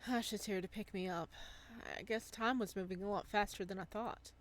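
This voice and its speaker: A woman's voice: medium pitch, fairly even intonation, moderate pace. A woman reading a visual novel aloud as a let's play, gushing and gasping in her reactions.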